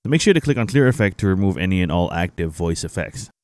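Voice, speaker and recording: A young man hosting a casual software tutorial, explaining things informally to viewers on a close microphone. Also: deep voice, expressive, fast, dry recording. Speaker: tutorial host, gaming streamer. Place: indoor home streaming setup. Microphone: close boom-arm microphone.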